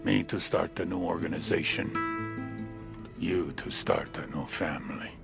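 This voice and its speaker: An actor playing a brooding, philosophical crime boss in a measured, literary game monologue. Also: male voice, deep voice, gravelly, heavily acted. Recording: loud guitar music behind voice.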